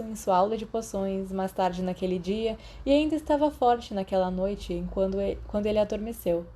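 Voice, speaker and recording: A woman's voice: mid-range voice, mellow, a little hiss or hum. A woman with a Brazilian accent reading a story aloud as scripted audiobook narration. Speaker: podfic narrator.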